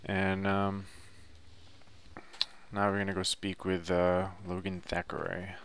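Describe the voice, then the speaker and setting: A man's voice: low voice, monotone, takes it slowly, a little hiss or hum. A man giving a casual, unscripted video game walkthrough in a loose, hesitant, laid-back way. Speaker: video game guide narrator.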